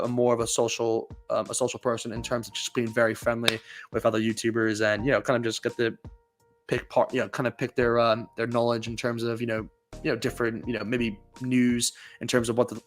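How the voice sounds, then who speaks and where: A young man with a mixed, hard-to-place accent chats quickly to camera about rugby. He rambles, circling back with fillers and restarts, at a middling pitch. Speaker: rugby YouTuber. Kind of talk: casual to-camera vlog.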